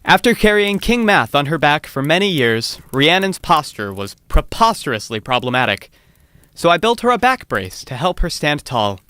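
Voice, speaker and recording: A man's voice: on the high side, big pitch swings, normal speed, bright, forceful tone, no echo. A man playing a sincere, contented storyteller and jester with an articulate, playful delivery in an audio drama. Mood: narrating.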